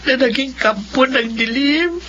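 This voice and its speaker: A woman's voice: high voice, a little slow, fairly bright tone. A Filipino woman playing a devout, grieving mother in a radio drama, sorrowful and solemn. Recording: dull, faint background noise.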